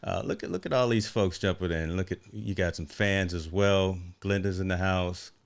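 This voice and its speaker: Very deep-voiced upbeat male host. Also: fairly even intonation, moderate pace, vocal fry. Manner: upbeat, repeats words, tags "right?". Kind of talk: casual livestream interview.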